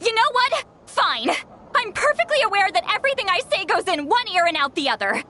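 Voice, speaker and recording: A woman's voice: very high-pitched, sing-song, fairly quick, bright, forceful tone, heavily acted. A nagging, scolding grandmother. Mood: exasperated. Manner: nagging, exasperated scolding with sighs. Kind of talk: video game cutscene dialogue. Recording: game music behind voice.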